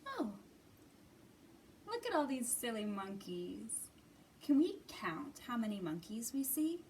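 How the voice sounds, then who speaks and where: A woman hosting storytime for young children, reading and talking to them in simple, expressive phrases. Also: fairly high pitch, slow pace, a little hiss or hum. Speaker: children's library storytime host. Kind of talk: storytime read-aloud and singalong for young children.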